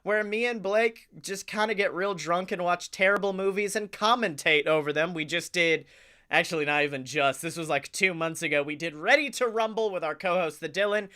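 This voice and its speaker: A man with a bright, forceful voice hosts a comedy podcast. He plugs things in a loose, jokey way and swears freely as he goes. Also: high voice, a little fast, clear, resonant tone. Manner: profane, jokey, animated plugging. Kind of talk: casual comedic podcast banter.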